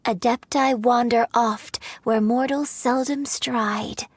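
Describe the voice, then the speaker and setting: A graceful young opera singer. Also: female voice, fairly high pitch, steady tone, breathy edge, no room sound, heavily acted. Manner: measured, poetic narration of a legend. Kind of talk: video game voice acting.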